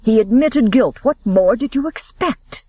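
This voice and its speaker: An angry, stiff-backed gentleman who bites out his reproaches, as a male reader puts him on. The voice sits high and lively, dry and a little dull. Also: measured pace, no room sound, heavily acted. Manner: clipped, biting.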